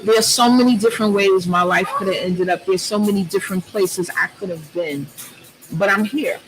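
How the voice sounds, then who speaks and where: A woman guest with a loud, strong voice, talking earnestly and thoughtfully with frequent ums in a huge, loud space. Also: medium pitch, moderate pace, faint music behind, poor audio. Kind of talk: reflective personal conversation.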